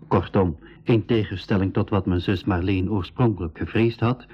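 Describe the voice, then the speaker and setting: Measured, reflective travel narrator, lowish voice, radio serial storytelling, slightly dull sound. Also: male voice, breathy edge. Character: boy narrator recounting his travel adventures, measured and reflective. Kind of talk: scripted narration in a school radio drama. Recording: dull 1980s radio recording.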